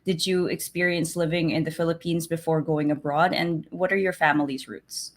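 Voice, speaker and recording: A woman's voice: sits low, fairly even intonation, normal speed. A woman hosting an interview, introducing her guest and asking questions in a friendly, loose way. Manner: friendly, asks questions in loose chatty runs. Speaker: interview host and reporter. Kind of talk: hosting a news outlet interview.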